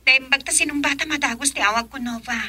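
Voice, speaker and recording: A woman's voice: sits high, fast, bright, forceful tone, breathy edge, heavily acted. A woman acting an earnest, insistent young friend in a radio drama. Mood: urgent.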